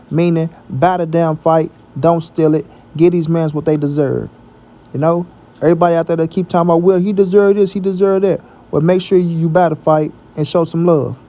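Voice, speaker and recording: High-pitched American man talking boxing casually. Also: warm tone. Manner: emphatic, repetitive, slangy with frequent check-in tags. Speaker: boxing commentary channel host. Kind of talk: casual opinionated sports commentary.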